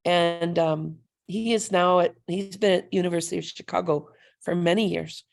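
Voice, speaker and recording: A woman's voice: fairly low pitch, steady tone, moderate pace, creaky. A woman chatting enthusiastically on a video call, effusive and welcoming. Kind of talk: casual video call chat.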